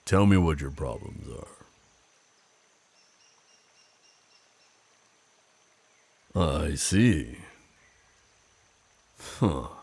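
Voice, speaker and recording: An ASMR roleplay werewolf, acted out by a man, speaks close and dry in a rough, gravel-edged male voice. He is flirtatious and teasing, yet protective of his forest. His delivery is unhurried, with deliberate pauses. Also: no echo, exaggerated voice. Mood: casual, listening. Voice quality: very deep, gravelly, husky. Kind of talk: ASMR roleplay, intimate scripted monologue.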